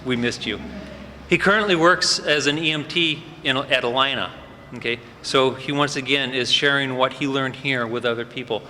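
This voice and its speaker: A man at a fire department ceremony, introducing each graduate with loose, unscripted remarks and plenty of "uh"s. His voice is bright and forceful. It rings through a large hall with long reverb and faint ambient noise. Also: medium pitch, moderate pace. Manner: warm off-the-cuff remarks with frequent fillers. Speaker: fire department EMS supervisor presenting cadets.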